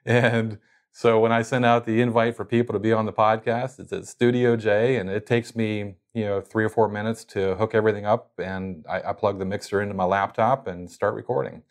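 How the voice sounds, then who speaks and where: A man's voice: fairly low pitch, fairly even intonation, a little fast. A man being interviewed as a guest, explaining casually how he runs his company's podcast, with plenty of fillers and restarts.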